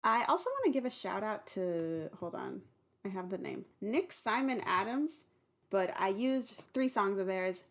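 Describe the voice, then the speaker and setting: A woman hosting her own audio drama podcast, talking in an upbeat, chatty way as she thanks her team. Her voice sits at an everyday middle pitch, recorded at home. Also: expressive, a little slow. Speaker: podcast creator and host. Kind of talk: casual outro chat.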